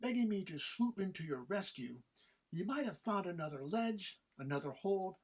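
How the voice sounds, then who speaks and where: A woman playing a menacing immortal mentor in an audio drama, with a stern, taunting, deliberate way of lecturing and a husky voice. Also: on the low side, expressive, darkish tone. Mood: stern, unsympathetic.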